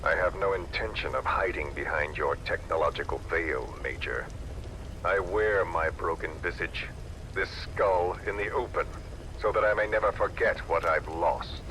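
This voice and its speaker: An actor playing a menacing disfigured villain in a video game, speaking with deliberate, theatrical and coldly eloquent malice. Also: male voice, sits low, sharp tone, slight background noise, poor audio. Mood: bitter, resolute. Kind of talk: dramatic video game voice acting.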